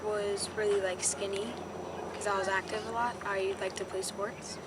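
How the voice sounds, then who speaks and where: A woman in her seventies giving soft-spoken, hesitant interview answers. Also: mid-range voice, level tone, moderate pace. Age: 70s. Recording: distant mic, noisy background.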